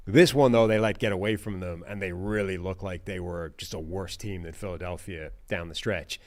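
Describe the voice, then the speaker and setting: A man co-hosting a sports podcast, giving casual, emphatic football analysis with lots of "like" and "right?". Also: normal speed. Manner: animated, emphatic, pitch jumping on key points; frequent fillers like "like" and "right?". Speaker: co-host.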